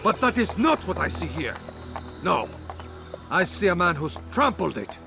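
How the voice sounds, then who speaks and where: Game role: righteous, sarcastic crusader king, regal, raised animated voice over music. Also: high voice, a little slow, creaky, full character voice. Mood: stern. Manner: sardonic, regal. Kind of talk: dramatic video game acting.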